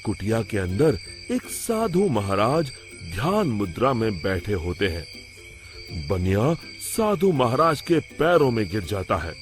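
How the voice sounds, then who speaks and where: A man's voice: on the low side, very animated, a little slow. A man narrating a scripted moral story as a storyteller. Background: background music.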